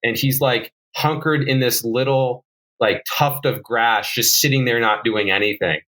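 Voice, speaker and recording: Bright-voiced young man rambling through a story. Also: mid-range voice, measured pace, poor audio. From New Jersey. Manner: rambling storyteller, many likes and ums. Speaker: guest falconer. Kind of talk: casual podcast chat.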